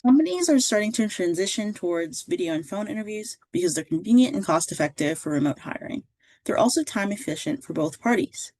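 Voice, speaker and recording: A woman presents scripted career guidance in a mid-pitched voice. Her delivery is measured and instructive, like narration for a training slideshow. The recording is close and dry, with very little room sound. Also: animated, darkish tone, no room sound.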